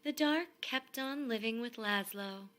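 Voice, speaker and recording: Slow-paced woman reading a storybook. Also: on the high side, a bit bright. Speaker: storybook reader. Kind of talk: expressive scripted children's story narration.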